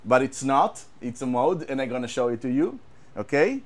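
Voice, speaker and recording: A man with an Israeli accent, a guitar tech, talking casually and haltingly, repeating words and using many fillers. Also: mid-range voice, very animated. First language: Hebrew. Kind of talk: casual show-and-tell chat.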